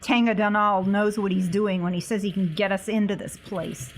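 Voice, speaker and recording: A woman's voice: mid-range voice, fairly even intonation, normal speed, a little hiss or hum. A woman playing a tabletop roleplaying game, casually describing and improvising what her character does.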